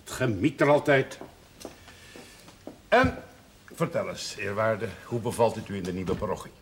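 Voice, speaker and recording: An actor playing a genial village gentleman and father in a television drama, on an old soundtrack. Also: lowish voice, animated, moderate pace, a little hiss or hum. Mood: hospitable. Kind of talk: 1970s Dutch television drama acting.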